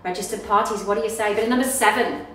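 A woman in her thirties with an Australian accent, working as an auctioneer and calling bids live with brisk, upbeat sales patter. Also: mid-range voice, pushed and bright, far from the mic. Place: small office studio in front of a backdrop. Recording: echoey room, voice heard from off the mic.

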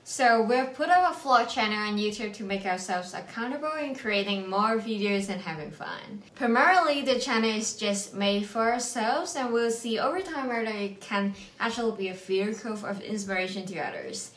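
A woman's voice: a little high, normal speed, hardly any pauses, fairly bright tone, echoey room. A woman in her late twenties from Hong Kong with a Hong Kong English accent, co-hosting a couple vlog in a playful, slightly shy, giggly way from a small room at home. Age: late 20s. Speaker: vlog co-host, nurse and yoga teacher. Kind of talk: casual couple vlog introduction.

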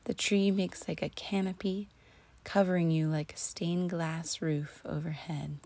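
Woman doing guided relaxation, lowish voice w some creak, breathy almost whispery, soothing. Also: steady tone, darkish tone. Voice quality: breathy, often near a whisper. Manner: soothing, slow and deliberate with long pauses. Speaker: guided meditation host. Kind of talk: guided imagery relaxation narration.

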